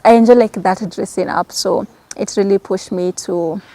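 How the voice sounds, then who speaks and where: Casting: young female Kenyan guest, Kikamba first language, mid-pitched and even, chatting casually about herself. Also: normal speed. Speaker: interview guest. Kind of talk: casual personal talk.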